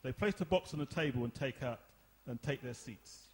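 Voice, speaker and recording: A man's voice: mid-range voice, moderate pace. A man narrating a scripted drama, reading out the stage directions.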